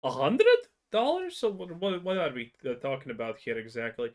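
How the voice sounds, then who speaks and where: A man giving unscripted, blunt and critical reaction commentary on games, haltingly and with repeated words. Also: mid-range voice, wildly swooping pitch. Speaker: video commentator reacting to another creator's video.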